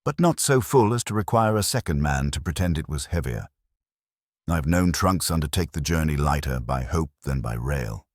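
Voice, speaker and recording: A man acts out a talkative railway porter from an audiobook story. He is wry and droll, with a low, husky voice that rises and falls freely. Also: animated, normal speed, dry recording, studio quality. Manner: droll, roundabout.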